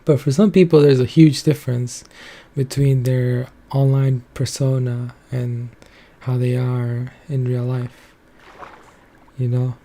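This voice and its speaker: A young man talks alone in a casual, meandering way and keeps leaning on filler words. His voice is very dark and mellow and his pitch stays flat, sitting in an ordinary middle register. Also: takes it slowly. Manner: rambling, loose, heavy on filler words. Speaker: commentary channel host. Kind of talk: casual solo commentary.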